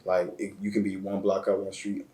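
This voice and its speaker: Deep flat-voiced man. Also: a little hiss or hum. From Chicago area, Illinois. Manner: slow, flat delivery with repeated words and slang. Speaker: interview guest. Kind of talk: reflective personal storytelling. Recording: roomy echo.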